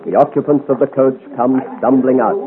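A man's voice: medium pitch, fairly quick, phone-quality sound, lightly acted. A man narrating a scripted 1950s radio adventure serial. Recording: scratchy 1950 radio recording, thin band.

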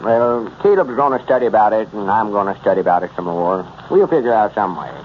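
A man's voice: on the low side, animated, a little fast, natural voice. A man playing a self-important, bossy country schemer with a rural Southern US accent, acting in 1930s radio comedy style. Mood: musing. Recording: muffled, noisy 1930s radio recording.

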